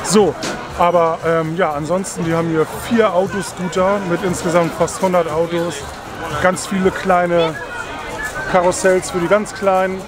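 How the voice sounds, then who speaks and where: A man's voice: sits high, level tone, normal speed. A man in his forties, chatting to a camera held at arm's length as a family vlogger outdoors in an amusement park. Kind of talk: casual vlog narration. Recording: outdoor crowd noise. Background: crowd noise.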